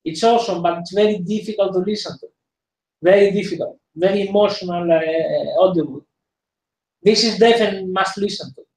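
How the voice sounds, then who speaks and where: A man from Greece speaks accented English as an interview guest. His voice sits high, and he hesitates often, circling back with fillers and repeats. The sound has a roomy echo. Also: brisk, a little mellow, slight background noise. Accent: Greek. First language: Greek. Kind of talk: casual interview conversation.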